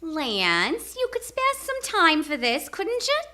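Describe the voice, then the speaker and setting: A woman's voice: high voice, huge pitch swings, slow pace, pushed and bright, full character voice. An actress playing a detective's coaxing female sidekick in a live old-time radio drama, with a pleading, sing-song manner. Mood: sweet wheedling. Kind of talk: live 1940s-style radio drama acting.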